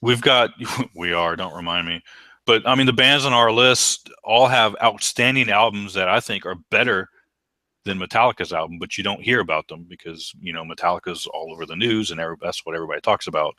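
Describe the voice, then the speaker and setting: A middle-aged man with a baritone voice, co-hosting a casual podcast chat and speaking with enthusiasm. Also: a little fast, bright, forceful tone, no echo. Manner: enthusiastic, repeats words when restarting. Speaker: podcast co-host.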